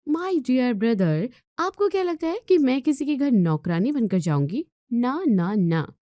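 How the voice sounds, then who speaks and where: A spoiled young woman from a moral tale, acted by a female storyteller, pitched high and dryly recorded. She is flippant and lazy, whining and brushing others off with a snooty air. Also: big pitch swings, a little slow, dark tone, dry recording, studio quality. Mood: mocking. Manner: flippant, dismissive, whiny.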